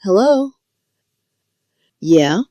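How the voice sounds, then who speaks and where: A woman's voice: mid-range voice, big pitch swings, extremely slow, warm tone, no echo. A woman playing a shocked, grieving daughter in an audio story, distraught and stammering. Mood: answering casually. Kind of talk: dramatised audio story dialogue.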